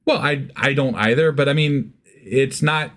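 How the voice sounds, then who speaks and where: A man's voice: medium pitch, measured pace, a bit bright. Wry, musing man in casual podcast chat. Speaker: podcast co-host. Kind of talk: casual discussion.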